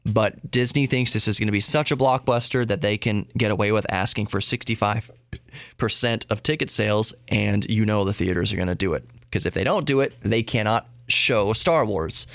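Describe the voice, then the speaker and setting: A man's voice: lowish voice, brisk, creaky, fairly bright tone, no echo. A man hosting a podcast solo, talking casually in a rambling way, often interrupting himself and repeating words for emphasis. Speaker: podcast host. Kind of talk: casual solo tech and geek news talk.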